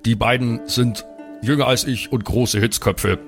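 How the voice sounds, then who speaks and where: A man playing an earnest, worried elder-brother cleric in an improvised tabletop audio play, speaking politely and haltingly as he pleads. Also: medium pitch, fairly even intonation, creaky, faint music behind. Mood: worried, explaining.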